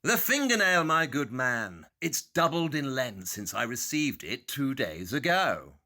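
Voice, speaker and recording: An imperious, eccentric detective, played by a man whose bright, sharp voice leaps wildly in pitch. He speaks slowly and deliberately, as if each word is a pronouncement. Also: sing-song, exaggerated voice. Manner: crisp, imperious, English. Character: eccentric brilliant male detective. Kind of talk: audio drama acting.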